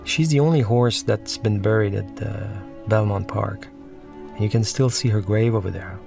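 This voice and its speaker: A man with a low, creaky voice talks through a film as its director. His commentary is informal and reflective, with pauses as he searches for words. Music plays behind him.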